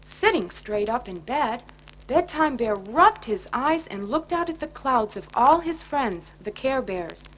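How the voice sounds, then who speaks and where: A woman narrating a children's bedtime story in an expressive storytelling manner. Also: a little high, a little slow. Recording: noisy 1980s children's record.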